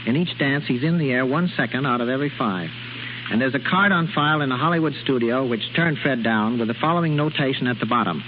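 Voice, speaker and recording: A man's voice: mid-range voice, fast talker. Noisy old radio, American man reporting. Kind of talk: scripted 1930s radio trivia reading. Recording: muffled, noisy 1930s radio recording.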